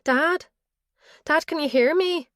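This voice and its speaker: A woman's voice: high-pitched, takes it slowly, fairly bright tone, dry recording, clean studio sound, pitched above the performer's own voice. A woman narrator voicing an anxious adult daughter who sounds strained and pleading.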